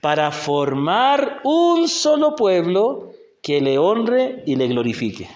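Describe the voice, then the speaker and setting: High-voiced Chilean man teaching in an echoey church. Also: very animated, slow, highs cut off. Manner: patient, asks the group questions, often checks with "¿ya?". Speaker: missionary teacher. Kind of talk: Bible class teaching. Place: church hall in a rural community.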